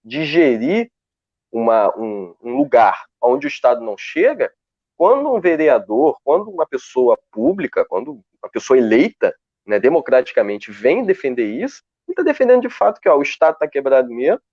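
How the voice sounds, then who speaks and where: A man speaking on a live video call, talking in a lively way with many restarts as he searches for words. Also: mid-range voice, normal speed, no echo. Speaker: guest researcher. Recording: dull, dry lo-fi call audio.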